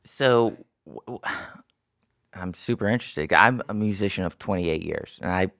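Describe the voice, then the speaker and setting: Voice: sits low, normal speed, a bit bright, dry recording, studio quality. A middle-aged podcast host asking casual questions, often stopping and restarting. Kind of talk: casual interview chat.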